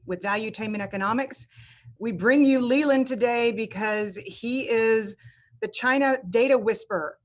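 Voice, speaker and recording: Woman host asking long questions, kinda hedgy, a bit high voice. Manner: conversational, hedging, restarts. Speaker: interviewer, economist. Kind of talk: interview questions.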